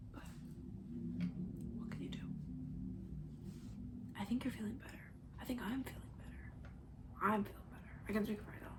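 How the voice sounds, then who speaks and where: A woman playing a comforting young summer camp counselor in an ASMR roleplay, talking softly and near a whisper in a casual, rambling way. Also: medium pitch, voice pushed down, close to an everyday voice. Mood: reassuring, hushed. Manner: hushed, rambling, casual, reassuring.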